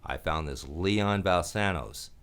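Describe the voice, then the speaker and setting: A man's voice: deep voice, slow, a bit bright, smooth. A man playing a cynical, wisecracking hard-boiled private detective in a noir radio play, wry and slangy. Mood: sardonic negotiating.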